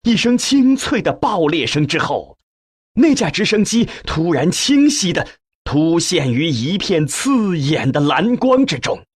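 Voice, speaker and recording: A man reading a novel as a scripted narration, with measured, expressive storytelling and a husky voice. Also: fairly high pitch, dry recording, studio quality. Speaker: audiobook narrator.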